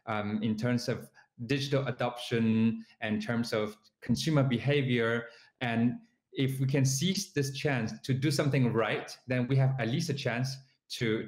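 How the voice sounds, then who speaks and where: A man from southern China with a Chinese accent, an entrepreneur guest speaking hesitantly with many fillers. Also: medium pitch. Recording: roomy sound.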